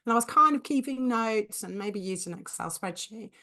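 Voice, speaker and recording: A woman co-hosting a business podcast, hoarse and husky from a cold, talking enthusiastically with her co-host. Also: medium pitch, animated, moderate pace, sharp tone. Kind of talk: conversational podcast chat.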